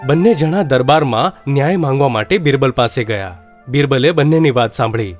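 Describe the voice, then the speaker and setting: A man narrating a children's story in a steady, plain manner. His voice sits at an ordinary pitch. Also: brisk, soft background music.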